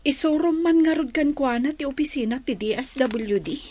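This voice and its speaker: A woman's voice: high voice, animated, moderate pace, a little mellow, slight background noise. An actress in an Ilocano radio drama playing a troubled but determined young mother. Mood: worried.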